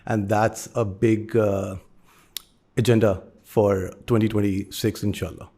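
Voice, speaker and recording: Steady, flat-voiced Pakistani businessman. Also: fairly low pitch. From Lahore, Pakistan. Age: 30s. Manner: measured, businesslike, with halting restarts. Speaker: company co-founder, guest. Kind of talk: interview answers.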